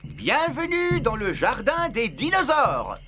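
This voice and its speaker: A man's voice: extremely high pitch, wildly swooping pitch, slow, very noisy, full character voice. A man voicing a jolly, showy theme-park owner in a preschool cartoon, talking like a theatrical, exuberant showman.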